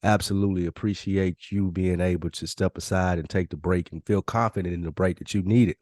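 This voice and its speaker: An American man with a low voice chats as a podcast host in a dry, close recording. He tells stories in loose slang and voices other people's replies as he goes. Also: steady tone, a little fast, darkish tone, no echo. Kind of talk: casual chat.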